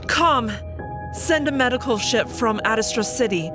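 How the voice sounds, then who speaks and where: A commanding female noble, played by a woman, with a higher voice that barks out urgent orders. Music plays clearly behind her. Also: lively intonation, normal speed, natural voice.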